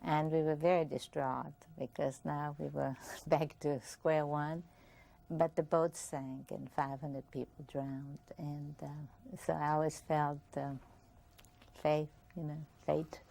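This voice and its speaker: Older woman, Viennese German accent, German first language, very low gravelly voice, soft-spoken and reflective. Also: flat delivery, slow, poor audio. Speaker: interviewee. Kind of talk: oral history interview.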